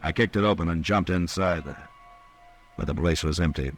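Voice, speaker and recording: An actor playing a stern, weary US marshal in an old-time radio western, terse and grave. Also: male voice, low voice, normal speed, close to an everyday voice. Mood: measured narration. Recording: old radio drama with music.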